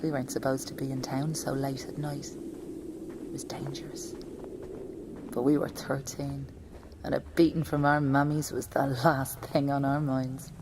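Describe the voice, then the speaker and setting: An actress playing a grieving mother in an audio drama monologue, wistful, quietly stoic and often hushed. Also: very deep voice, flat delivery, background noise. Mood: wistful, nostalgic. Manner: slow, reflective, often hushed to breathy near-whisper. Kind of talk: intimate audio-play monologue.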